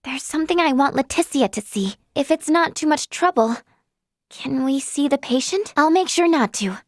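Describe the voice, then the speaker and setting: A woman's voice: sits high, lively intonation, normal speed, no room sound, clean studio sound. A woman voicing an earnest, plucky, polite young female healer in a video game, with a girlish, youthful voice. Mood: solemn, pleading. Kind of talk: scripted game character dialogue.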